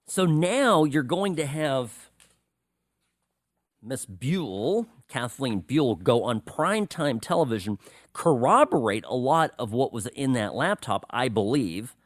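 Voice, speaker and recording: A man's voice: mid-range voice, big pitch swings, slow, dry recording, studio quality. A man from the United States hosting a political talk radio show, delivering a sarcastic monologue with confiding asides.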